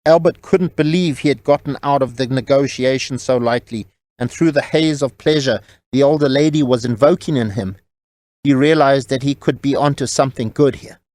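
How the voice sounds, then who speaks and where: A man's voice: medium pitch, moderate pace, a little mellow, dry recording. A man reading scripted novel narration as the storyteller of an audio drama.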